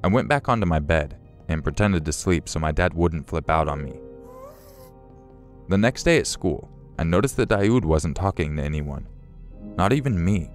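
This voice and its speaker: A man narrating a true-style horror story in a slow, measured, somber way. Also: low-pitched, expressive. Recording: faint music behind.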